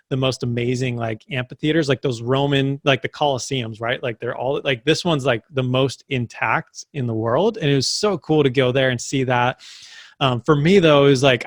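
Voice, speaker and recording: Man, young adult, casual motivational talk, filler-heavy, mid pitch, dry recording. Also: dry recording. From United States. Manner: filler-heavy, many "like" and "right?". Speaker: summit speaker.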